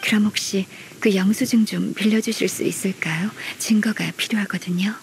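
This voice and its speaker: An actress playing a courteous, gentle young woman official in a video game, speaking in a measured, formal way. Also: medium pitch, fairly even intonation, slight background noise, natural voice. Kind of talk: video game character acting.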